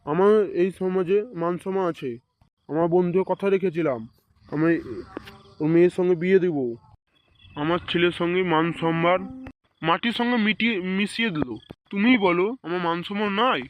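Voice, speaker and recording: A man's voice: high voice, fairly even intonation, moderate pace, long pauses, muffled recording, slight background noise, lightly acted. A man voicing a middle-aged village elder in a comedy cartoon, nosy and indignant. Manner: questioning, indignant, repetitive. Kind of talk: comedy cartoon dubbing in colloquial village speech.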